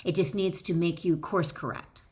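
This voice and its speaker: A woman speaking as an author and wellness teacher, addressing the listener directly in emphatic, deliberate phrases as she instructs and reads from her book. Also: on the low side, steady tone, darkish tone. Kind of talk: instructional talk mixed with expressive reading aloud from own book.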